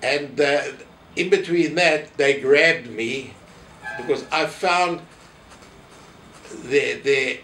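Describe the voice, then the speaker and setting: An elderly South African man reminisces in an interview, telling anecdotes and acting out remarks. His medium-pitched voice comes from a little way off in an echoey room, with faint noise behind it. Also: drawn-out words, long pauses, fairly bright tone. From South Africa, family from Poland. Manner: anecdotal, re-enacting quoted remarks. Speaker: interviewee, former synagogue office holder. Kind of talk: reminiscing in an oral history interview. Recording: roomy echo, distant mic, faint noise.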